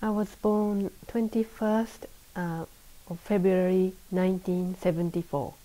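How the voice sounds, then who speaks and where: A woman in her thirties from Japan introducing herself carefully, with short pauses, in an interview. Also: lowish voice, level tone, mellow, poor audio. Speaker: oral history interviewee.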